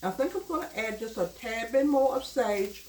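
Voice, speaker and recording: A woman's voice: on the high side, lively intonation, moderate pace, slight background noise. A middle-aged woman, chatting homily to viewers in a cooking vlog, her voice stuffed up and nasal from bronchitis. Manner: chatty, homey, talks to viewers as y'all. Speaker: cooking channel host. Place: home kitchen at the stove. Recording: roomy kitchen with loud sizzling.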